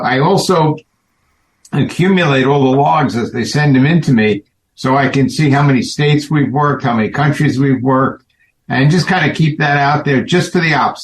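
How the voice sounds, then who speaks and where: A man with a middle-pitched voice explains things plainly and step by step. His voice sounds roomy, with a clear echo around it. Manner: matter-of-fact, explanatory. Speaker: guest, event co-organizer. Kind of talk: casual chat. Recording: echoey room.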